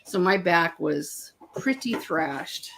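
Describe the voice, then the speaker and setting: An older woman, a grandmother, co-hosting a casual chat and telling animated stories with frequent laughter. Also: on the low side, slow pace, vocal fry, a little hiss or hum. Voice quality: voice worn from years of teaching. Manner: animated storyteller, laughs often. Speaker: co-host. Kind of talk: casual chat on a streamed art show.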